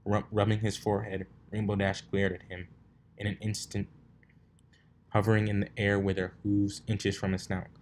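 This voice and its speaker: A man's voice: fairly low pitch, flat delivery, normal speed. A man narrating fanfiction aloud, now and then stumbling and rereading a phrase. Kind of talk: story narration, read aloud.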